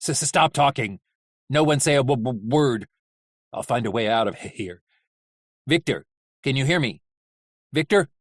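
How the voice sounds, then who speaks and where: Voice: mid-range voice, lively intonation, moderate pace, pauses often, dry recording, studio quality, close to an everyday voice. Narrator acting a snarling, stuttering protective male robot. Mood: urgent. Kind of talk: audiobook character acting.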